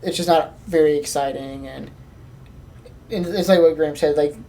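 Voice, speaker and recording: A woman's voice: low-pitched, moderate pace, mellow, a little hiss or hum. A woman co-hosting a podcast, chatting casually and conversationally. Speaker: podcast co-host. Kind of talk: casual chat.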